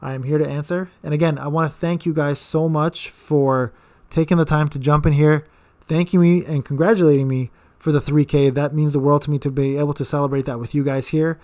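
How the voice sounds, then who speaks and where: Rambling man in his thirties, slightly high voice. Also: steady tone, brisk, a little mellow. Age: 30s. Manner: rambling, repeats words, frequent fillers. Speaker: gaming channel host. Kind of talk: casual live-stream Q&A.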